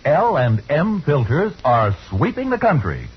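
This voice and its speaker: A man's voice: a little high, huge pitch swings, a little slow, slightly rough. A man announcing a 1950s radio commercial in an emphatic, polished sales delivery. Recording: dull, noisy vintage radio recording.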